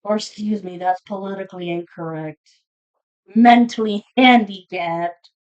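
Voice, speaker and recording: A woman's voice: medium pitch, a little slow, smooth, lo-fi sound. A woman giving a casual, unscripted tarot reading to viewers, speaking in a blunt, informal, foul-mouthed manner. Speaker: tarot reader hosting a zodiac reading.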